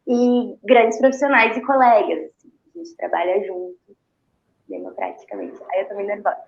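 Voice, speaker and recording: High-voiced Brazilian woman, roomy dull sound. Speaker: dancer, interview guest. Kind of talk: informal interview answers.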